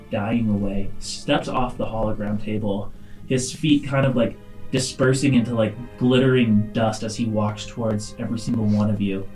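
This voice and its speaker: A man's voice: fairly low pitch, a little mellow, low-quality recording. A man narrating an improvised tabletop game as game master.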